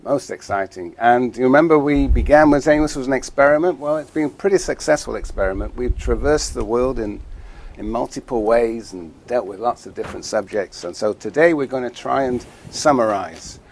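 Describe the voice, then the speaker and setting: A man professor leading a seminar in a classroom, lively and dryly joking. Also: medium pitch, a little fast, slight background noise.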